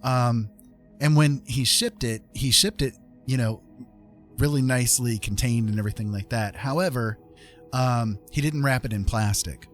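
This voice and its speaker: A man's voice: mid-range voice, moderate pace. A man chatting casually to viewers as a live streamer, rambling along in an easygoing, informal way. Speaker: former radio host. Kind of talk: casual livestream chat with viewers. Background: music playing.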